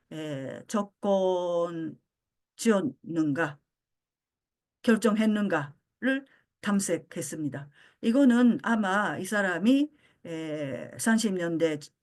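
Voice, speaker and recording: An older woman born in Japan giving a philosophy lecture, pausing often with fillers as she searches for words. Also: medium pitch. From Japan (Osaka). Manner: frequent hesitation fillers, halting.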